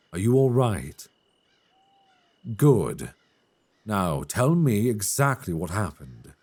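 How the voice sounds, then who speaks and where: A man playing a calm, reassuring elf adventurer in an intimate fantasy ASMR roleplay, speaking gently and soothingly close to a binaural microphone. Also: deep voice, full character voice. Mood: soothing, concerned. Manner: gentle, soothing, measured delivery.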